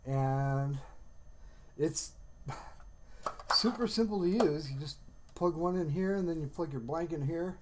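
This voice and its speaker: A man talks casually as a livestream host. His voice sits a little higher than average for a man. He rambles in a halting way, starting thoughts, restarting them and letting some trail off. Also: noisy background.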